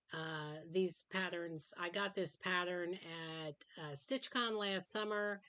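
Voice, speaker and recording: A middle-aged woman talks through her craft projects in a loose, rambling way, filling pauses with ums and uhs. Her voice sits a little low, and it sounds dry and slightly worn from a recent sinus infection. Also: a bit bright. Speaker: craft vlogger. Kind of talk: casual show-and-tell chat. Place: home craft room.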